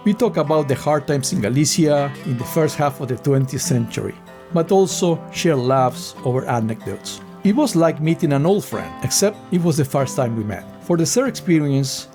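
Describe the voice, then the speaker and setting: A man with an Argentine accent giving a measured, scripted voice-over for a travel vlog. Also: medium pitch, a little mellow. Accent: Argentine Spanish. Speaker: travel vlogger. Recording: voice-over with guitar music behind. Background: guitar music.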